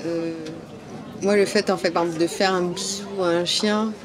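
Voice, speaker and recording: A woman's voice: on the low side, steady tone, normal speed. A woman from France answering questions in a street interview outdoors at a summer event, hesitant, with many fillers and restarts. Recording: outdoor event with crowd noise. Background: crowd chatter.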